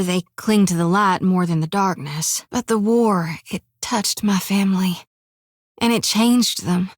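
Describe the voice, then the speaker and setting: A woman's voice: medium pitch, dry recording, clean studio sound, natural voice. A woman in her twenties voicing a young, stubborn soul-harvesting priestess who talks in a folksy, homespun way full of rural sayings. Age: 20s. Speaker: game companion. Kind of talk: game voice acting.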